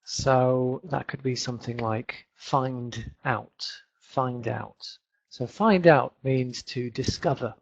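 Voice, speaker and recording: Man in his 30s from Leeds with a Northern English accent, English teacher on a webinar, unhurried, dry close sound. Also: mid-range voice, vocal fry, dry recording. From England. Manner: chatty, repeats words, many fillers. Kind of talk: online webinar lesson. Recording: dull lo-fi webinar audio.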